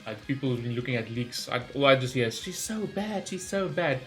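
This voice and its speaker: A man giving casual, excitable and rambling reaction commentary as host of a gaming video. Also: medium pitch, brisk, soft background music.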